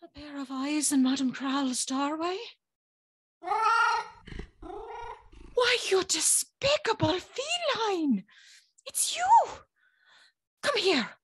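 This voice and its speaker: A woman's voice: sits high, slow, heavily acted. A woman playing an anxious, spirited young servant girl who tells her tale expressively in a gothic audio drama. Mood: exasperated, fearful. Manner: expressive, breathy, nervous.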